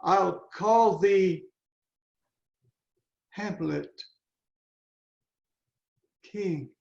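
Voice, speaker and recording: A man's voice: high-pitched, drawn-out words, gravelly, a little mellow, heavily acted. A man reading the part of a brooding young prince in a Shakespeare play, grave and declamatory, over a video call. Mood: awed, pleading. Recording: roomy, slightly dull video-call audio.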